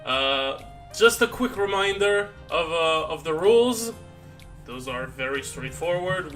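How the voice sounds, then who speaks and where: A tired man streams live, his slightly raised voice drifting through halting, rambling chat full of restarts. He often backs up and starts a sentence over. Faint music plays underneath. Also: a little high. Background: soft background music.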